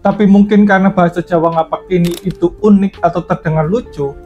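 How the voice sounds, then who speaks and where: Vlog host: a young man from Banyumas, Banyumasan accent, higher voice, relaxed chatty delivery. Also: quick pace. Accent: Banyumasan Javanese. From Banyumas, Central Java. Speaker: YouTube host. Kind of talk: explanatory vlog narration. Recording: slightly dull, faint music.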